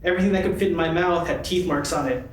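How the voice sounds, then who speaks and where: Man as an indignant puppet dog, fairly high male voice, blunt, opinionated, echoey room. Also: fast talker, natural voice. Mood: blunt, scornful. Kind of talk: amateur sock puppet show. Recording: echoey convention room, faint noise.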